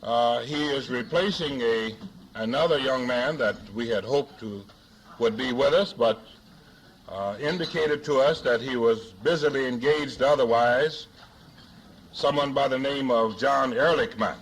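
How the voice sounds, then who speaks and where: A man hosting a forum, introducing a speaker in a formal but jocular way with deliberate, halting phrasing, on an archival 1970s recording. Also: mid-range voice, a little hiss or hum, low-quality recording. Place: live luncheon forum before an audience.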